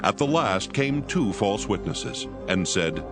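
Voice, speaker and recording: A man's voice: on the low side, animated, takes it slowly. A man narrating scripture from a script, in a solemn storytelling manner. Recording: background music under the voice.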